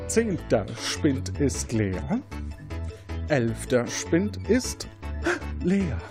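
A man's voice: medium pitch, sing-song, extremely slow, music in the background. A man hosting an interactive crime game, narrating scenes as game master in a partly improvised, playful way.

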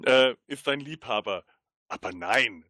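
A man's voice: mid-range voice, lively intonation, unhurried, sharp tone, dry recording, studio quality. A man co-hosting a podcast, casually retelling a story with enthusiasm and searching for words as he goes. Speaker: podcast co-host. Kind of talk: casual chat retelling a TV episode.

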